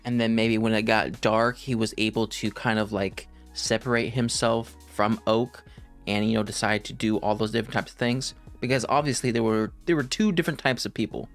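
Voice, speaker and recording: A man's voice: lowish voice, steady tone, brisk, soft background music. A young adult man giving casual, unscripted reaction commentary as host of a video.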